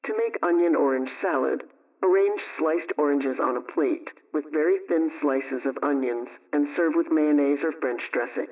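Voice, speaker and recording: A man playing a practical 1920s radio homemaking aunt, giving measured, instructive advice from a script. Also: a little high, close to an everyday voice. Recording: muffled, distant radio-style sound.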